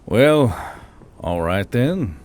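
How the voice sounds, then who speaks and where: A man's voice: lowish voice, big pitch swings, very slow, darkish tone, full character voice. A man voicing an easygoing, offhand human man with a muttering delivery in an audiobook. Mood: mild. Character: easygoing, casual human man, an office boss named Yul. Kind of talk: audiobook character dialogue.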